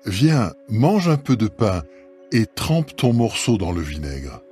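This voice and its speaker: A man plays a wealthy older landowner in a Bible audio drama, kindly but used to giving orders. His voice sits fairly low, with soft music behind it. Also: big pitch swings, takes it slowly. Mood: kindly, reassuring. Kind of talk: dramatised scripture reading.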